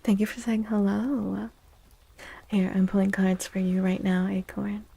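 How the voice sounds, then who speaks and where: A woman livestream host speaking in a soft, gentle ASMR style, often half-whispering in a breathy, soothing and affectionate way. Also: mid-range voice, level tone, unhurried. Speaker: livestream host doing tarot readings.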